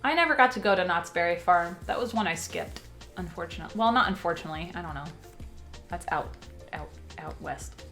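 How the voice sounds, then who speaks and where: A young woman chatting casually on a stream, reading out viewer messages and telling animated stories with fillers and giggles. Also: lowish voice, brisk. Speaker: stream co-host. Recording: faint music behind.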